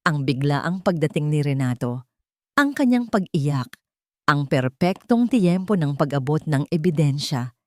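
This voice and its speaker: A woman's voice: sits low, expressive, unhurried, no room sound, studio quality. A woman reading a scripted dramatic story as its narrator.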